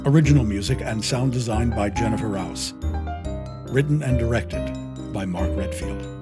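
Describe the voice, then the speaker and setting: Radio theater announcer guy, lowish voice, scripted intro, music blaring underneath. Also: moderate pace. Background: loud music.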